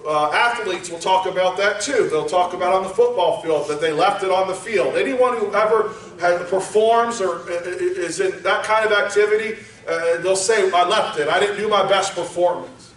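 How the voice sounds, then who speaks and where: A man preaching a sermon, moving from quiet teaching up to shouted exhortation. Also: high-pitched, normal speed, few pauses, a little hiss or hum. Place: church sanctuary. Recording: echoey church hall, voice from far off.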